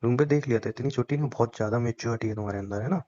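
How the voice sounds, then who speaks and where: A man's voice: mid-range voice, very fast, mellow. Young man teasing on a dull phone line. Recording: dull lo-fi phone call recording.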